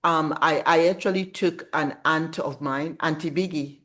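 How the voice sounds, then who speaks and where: A middle-aged woman talks as an author being interviewed. Her delivery is conversational and animated, with a reflective way of recounting her own thoughts. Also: low voice, moderate pace. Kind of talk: casual conversational storytelling about writing.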